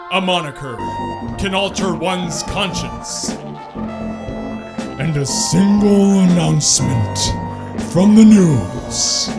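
Casting: man as grave old-time horror-radio narrator, dramatic, very unhurried, loud musical backing. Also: fairly high pitch, gravelly. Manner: grave, dramatic, halting phrases. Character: solemn, portentous male narrator of strange tales. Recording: live stage performance with loud music.

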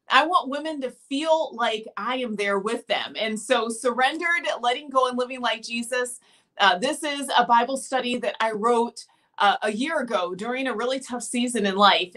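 Bright, animated woman hosting a live show. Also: a little high. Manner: animated, gestures a lot. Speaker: host. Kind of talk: live video show chat. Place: home room with plant and mannequin.

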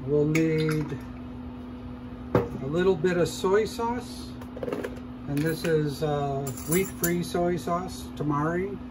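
A man hosting a cooking show, calmly explaining each step as he cooks in a shop demo kitchen. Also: on the high side, slow, pauses often, heavy background noise. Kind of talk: casual cooking demonstration.

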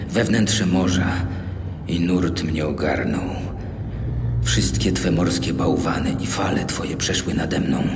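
An actor playing a troubled, earnest Hebrew prophet in an audio Bible drama. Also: male voice, low-pitched, background noise. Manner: earnest, measured, prayerful.

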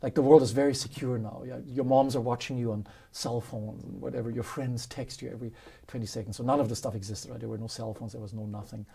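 Gravelly man with a German accent. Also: medium pitch, quick pace. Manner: animated, laughs often, acts out quotes. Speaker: guest, professor. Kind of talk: casual interview storytelling. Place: studio with dark curtain backdrop.

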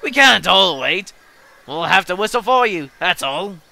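A man's voice: high-pitched, very animated, measured pace, vocal fry, sharp tone, voice pushed up, heavily acted. A man voicing impatient railway passengers in a children's story, insistent and curt. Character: brisk, impatient railway passengers refusing to wait.

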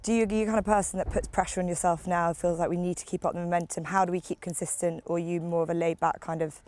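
A woman's voice: lowish voice, flat delivery, fast, a little mellow, a little hiss or hum. A woman working as a television interviewer, asking questions in a conversational way outdoors. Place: outdoors in a garden.

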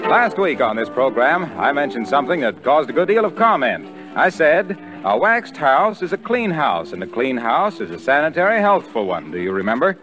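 A man's voice: a little high, very animated, moderate pace, hardly any pauses, over music, poor audio. A man with an American accent announcing and reading scripted advertising on 1940s radio, polished and emphatic.

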